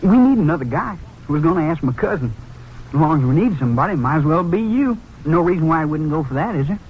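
Man acting a high-voiced, excitable young crook. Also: quick pace, slight background noise, close to an everyday voice. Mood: eager, slangy. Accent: American. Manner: slangy, excitable, cocky. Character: eager, boastful young male car thief, callow. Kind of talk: 1950s radio drama acting. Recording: muffled vintage 1950s radio recording.

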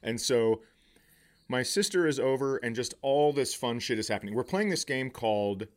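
A middle-aged man with a mid-pitched voice hosts a comedy podcast. He tells stories casually and profanely, acting out quoted lines as he goes. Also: moderate pace. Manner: profane, animated retelling with acted-out quotes. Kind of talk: casual comic storytelling.

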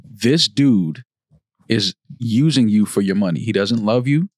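A man's voice: on the low side, a little slow, dry recording, clean studio sound. A man co-hosting a podcast, arguing emphatically and repeating his point. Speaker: podcast co-host. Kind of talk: casual chat.

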